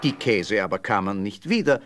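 A man's voice: fairly low pitch, animated, moderate pace, background noise. A man narrating a scripted fairy tale as a storyteller.

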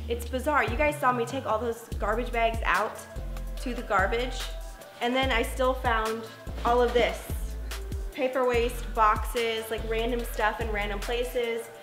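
A young woman and mother talking casually to the camera at home, chatty and upbeat, often saying "like". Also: on the high side. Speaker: vlogger, mother. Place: home kitchen, indoors. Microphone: camera at table distance. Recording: echoey room with music behind.

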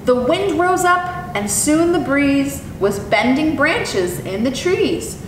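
A young woman presenting as a zoo educator and reading to children in an expressive, animated way. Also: slow. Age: young adult. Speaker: zoo educator and storytime host. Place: indoor room with painted animal mural. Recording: echoey, distant mic with clear rumbling noise.

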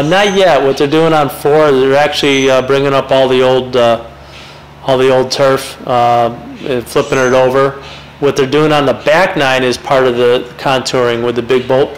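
A man giving halting, matter-of-fact explanations in an informal interview. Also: mid-range voice, a little hiss or hum, low-quality recording. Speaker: interviewed golf course renovation expert.

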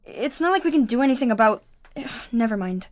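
An earnest, anxious schoolgirl acted by a woman in an audio drama. Her voice sits high and is recorded very dry and close. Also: animated, fast talker, darkish tone, dry recording, close to an everyday voice. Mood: conflicted. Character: earnest, anxious schoolgirl, Puerto Rican family's daughter.

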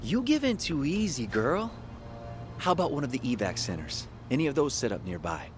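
A man's voice: medium pitch, sing-song, normal speed, music in the background, close to an everyday voice. An actor playing a brash, streetwise young male survivor of a zombie outbreak in a video game, with slangy, flippant delivery. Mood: cocky, casual. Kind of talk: video game cutscene acting.

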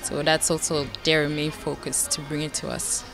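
Young woman from belgium, deep voice, lots of likes and ums, music playing behind. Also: little pitch movement, moderate pace, low-quality recording. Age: young adult. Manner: hesitant, many fillers and "like". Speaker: pageant contestant. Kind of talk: casual interview answers. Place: indoor lounge on a sofa. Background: background music.

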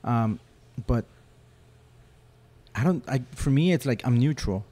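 A man's voice: medium pitch, takes it slowly. A man whose first language is Spanish, a podcast guest telling stories in casual chat and acting out other people's voices. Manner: animated storyteller who acts out other people's voices. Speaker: podcast guest, rock photographer.

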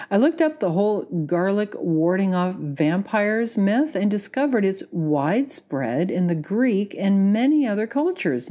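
A woman's voice: medium pitch, warm tone. A middle-aged woman whose first language is Romanian, reading a wry personal story. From Canada. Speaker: listener contributor.

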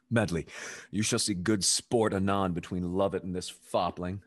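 A man's voice: deep voice, steady tone, moderate pace, slightly rough. A young man playing a suave, scheming rake in a period stage comedy, sly and theatrical. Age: young adult. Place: dark indoor room, video call.

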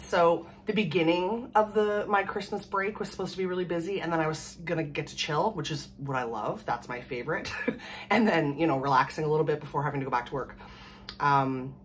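A woman in her thirties from Canada, hoarse from a cold, chatting casually and rambling to the camera at home. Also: low voice, a little hiss or hum. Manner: rambling, chatty, laughs often. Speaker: vlogger. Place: small room at home, indoors. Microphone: camera in front at desk distance.

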